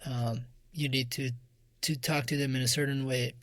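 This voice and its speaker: Soft-voiced Afghan-accented man, dry close recording. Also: mid-range voice, level tone, moderate pace, dry recording. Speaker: interview guest, musician and music teacher. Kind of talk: reflective interview answers.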